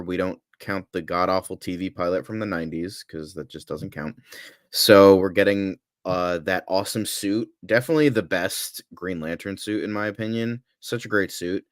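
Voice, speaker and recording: A male co-host with a deep voice talks in a casual, fannish style. He is enthusiastic and rambles, circling back to repeat his points. The sound is dry, with no room echo. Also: clean tone. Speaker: podcast co-host. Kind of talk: casual fan chat.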